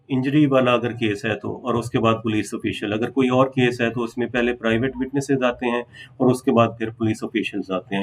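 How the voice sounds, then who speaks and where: A man with a Pakistani accent speaks as a law lecturer in a mid-pitched voice. He explains procedure informally and mixes English legal terms into his speech. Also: rough recording. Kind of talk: informal legal lesson, explaining and reading out statute.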